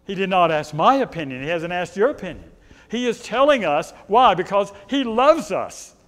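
A middle-aged man with a loud, carrying voice, preaching a sermon in a church sanctuary. He paces and gestures and asks the congregation rhetorical questions. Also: high-pitched, big pitch swings, normal speed, long reverb tail. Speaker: pastor.

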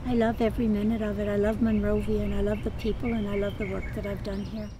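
A woman in her eighties tells her life story with a wry, lively humor. She sits on a garden bench outdoors. Birds and open-air ambience sound clearly behind her. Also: medium pitch, fast, background noise. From New York. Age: 80s. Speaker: retired nun and doctor. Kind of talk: documentary interview.

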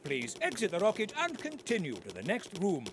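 An actor playing an excitable, welcoming rabbit space tour guide in a cartoon game, with theatrical delivery. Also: male voice, high-pitched, moderate pace, background noise. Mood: cheerful, explaining. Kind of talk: cartoon game character acting.

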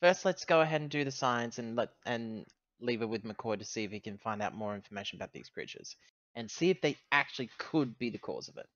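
A man's voice: mid-range voice, animated, brisk, fairly bright tone, dry recording. A man playing a tabletop game, talking through the situation in conversation, in slightly dull close audio.

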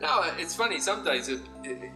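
A man's voice: a little high, lively intonation, moderate pace, sharp tone, rough recording. A man making candid, casual remarks in a recorded clip. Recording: music laid under clip.

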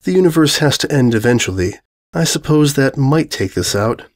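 A man voicing a huge, placid, weary female pony in a storybook reading, measured and sighing. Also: medium pitch, lively intonation, natural voice.

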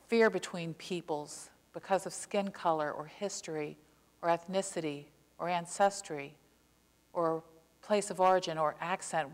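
An older woman preaches an impassioned sermon from a church pulpit, her voice sitting on the low side. She leans hard into key words, slowing down to drive them home. A long sanctuary reverb trails behind her through a clip-on mic. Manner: earnest, impassioned preaching with slow emphatic stresses. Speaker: pastor.

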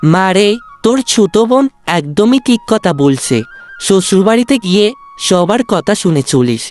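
A man's voice: high voice, big pitch swings, normal speed, a little mellow, faint music behind. A man voicing a humble, loving middle-aged father in a cartoon, speaking earnestly. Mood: tender. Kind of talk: Bengali cartoon voice acting.

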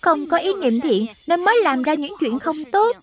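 A woman's voice: sits high, expressive, moderate pace. A woman voicing a small, wise spirit guardian in a children's cartoon, teaching and admonishing like an older brother. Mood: explaining. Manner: instructive, admonishing. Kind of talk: Vietnamese dubbed children's cartoon acting.

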